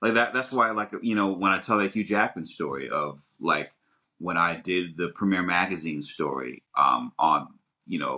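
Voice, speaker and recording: A man's voice: sits low, sharp tone. A man co-hosting a podcast in casual chat, emphatic and repeating his words in bursts. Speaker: podcast co-host.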